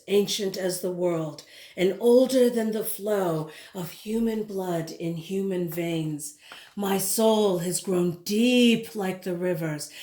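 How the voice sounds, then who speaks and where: A woman reading a children's picture book aloud in a dramatic, deliberate way, drawing out words and leaning on repeated phrases. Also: mid-range voice, a little mellow. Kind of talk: expressive storybook read-aloud. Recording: roomy, echoing indoor sound.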